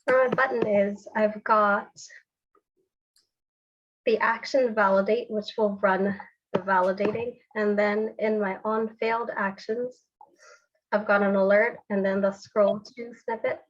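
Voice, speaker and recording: Woman, congested cold voice, steady step-by-step tutorial, slightly muffled call audio. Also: medium pitch, measured pace, slight background noise. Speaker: developer demonstrating a technique. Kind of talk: screen-share software tutorial.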